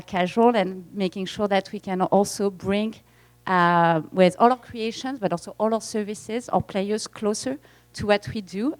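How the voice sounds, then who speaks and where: A woman in her forties with a French accent, giving friendly, slightly halting remarks as a games executive. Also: medium pitch, darkish tone. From France. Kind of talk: conference remarks.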